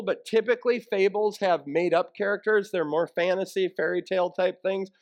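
A male pastor with a high-pitched voice delivers a teaching sermon. He explains in plain, conversational language, as if walking listeners through each point. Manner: conversational, plain-spoken explaining with rhetorical questions. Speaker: pastor preaching to a congregation.

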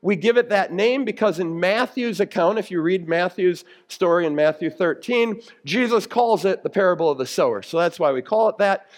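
A man's voice: sits high, expressive, moderate pace, few pauses. A man preaching as a pastor, explaining scripture in a plain-spoken, conversational way with everyday examples. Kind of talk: conversational expository sermon.

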